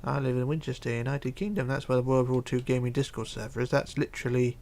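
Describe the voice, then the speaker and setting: A man hosting a casual livestream, reading chat aloud and chatting in a rambling, halting way. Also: mid-range voice, vocal fry, darkish tone. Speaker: Minecraft livestreamer.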